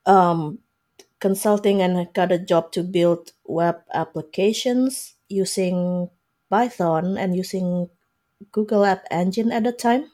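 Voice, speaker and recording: A woman's voice: fairly low pitch, takes it slowly, warm tone. A woman in her forties with an Indonesian accent, explaining things casually as an interview guest. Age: 40s. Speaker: interview guest, software developer.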